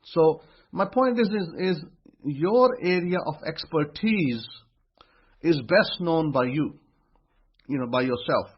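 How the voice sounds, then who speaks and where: A man's voice: on the high side, lively intonation, takes it slowly, long pauses, husky. A man giving a motivational religious talk, emphatic and repeating phrases as he builds his point. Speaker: religious teacher. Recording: dull lo-fi recording.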